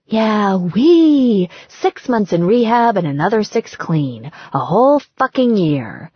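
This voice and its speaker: A slightly muffled audiobook recording presents a young female star in recovery, acted by a woman narrator. She is bitter and self-pitying, with a husky edge to her voice. Her sarcasm spills into exclamations. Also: medium pitch, very animated, slow, no echo, pitched above the performer's own voice, full character voice. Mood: bitter, agitated.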